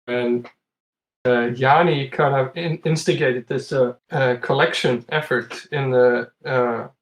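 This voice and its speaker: A man's voice: medium pitch, steady tone, slow pace. A man from Iceland chatting casually as a podcast guest, telling stories in a conversational way. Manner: chuckles often while talking. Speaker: podcast guest, competitive retro game player.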